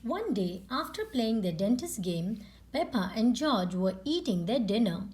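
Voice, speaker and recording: A woman reading a children's picture book aloud in an expressive storytelling style. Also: a little high, a little slow, slight background noise. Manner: expressive, acts out character lines. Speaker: storytime reader and channel host. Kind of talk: children's read-aloud narration.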